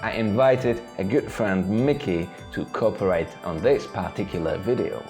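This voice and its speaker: A man whose first language is Czech, introducing a lesson in measured, scripted narration. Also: lowish voice, over loud music. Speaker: teacher, channel host.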